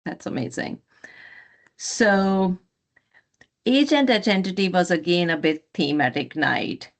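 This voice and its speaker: A woman's voice: on the low side, slow pace. A woman interviewing a guest in a conversational, encouraging and affirming way.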